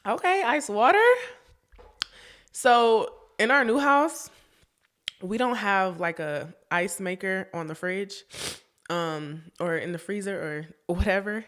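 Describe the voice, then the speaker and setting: A woman's voice: mid-range voice, slow, a bit bright. A young woman hosting her own podcast in a chatty, casual way, often laughing and talking straight to her listeners while sniffling from a cold. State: sniffly, getting over a cold. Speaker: podcast host. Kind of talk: casual chat.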